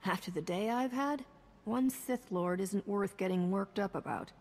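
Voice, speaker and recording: A woman's voice: mid-range voice, moderate pace, darkish tone, long reverb tail, faint music behind, lightly acted. A woman playing a tough, wry sci-fi hero in a video game, speaking in a cool, dry way. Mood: resolute. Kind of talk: video game cutscene acting.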